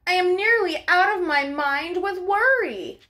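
A young woman reading a children's storybook aloud in an expressive, deliberate, child-directed way. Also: extremely high pitch. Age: young adult. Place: small furnished living room at home.

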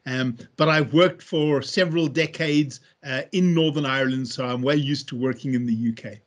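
A man's voice: medium pitch, normal speed. A middle-aged man with a South African accent, a veterinary school head, explaining steadily over a video call. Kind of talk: measured interview answers.

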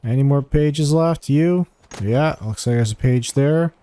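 A man's voice: medium pitch, unhurried, warm tone, clean tone, no room sound. A man giving a casual video game walkthrough, thinking aloud and chatting to the characters as he plays. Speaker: gaming guide host. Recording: music behind the voice.

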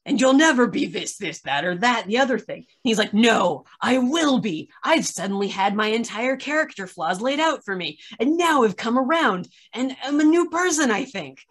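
A woman's voice: fairly high pitch, lively intonation, normal speed, few pauses. A woman in her thirties chatting casually on a video call, recounting stories with wry exasperation and acted-out asides. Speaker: podcast reviewer in a video chat. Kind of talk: casual chat, opinionated recounting. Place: small room at home, on a video call.

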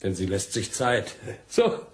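A man's voice: low-pitched, very animated, a little slow. An actor playing a sly, worldly, chummy man with an insinuating manner in a German crime radio drama. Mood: jovial, insinuating. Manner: insinuating, jovial. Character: sly, worldly, chummy male client of a call girl.

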